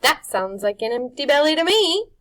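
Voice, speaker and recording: A woman's voice: sits high, sing-song, moderate pace, fairly bright tone, pitched above the performer's own voice. A woman reading a storybook aloud, voicing a sly, oily man who hides his spite behind a wheedling charm. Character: grinning, oily, menacing male house-keeper.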